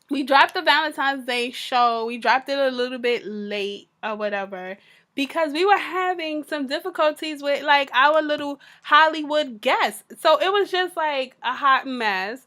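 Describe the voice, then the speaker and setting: A woman co-hosting a podcast in casual chat, her voice raspy from a sore throat, talking in a frank, laid-back way. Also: high-pitched, sharp tone. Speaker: podcast co-host.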